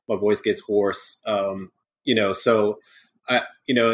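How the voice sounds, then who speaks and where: A man co-hosting a casual sports podcast, rambling and hedging with lots of fillers. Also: lowish voice. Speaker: podcast co-host.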